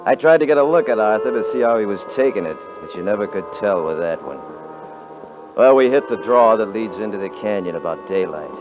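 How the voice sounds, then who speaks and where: An actor playing a hard, cocky young cowboy rancher, blunt and mocking, on an old radio broadcast. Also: male voice, lowish voice, animated, brisk, lightly acted. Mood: wry narrating. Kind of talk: 1950s radio drama acting. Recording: tinny 1950s radio broadcast with music.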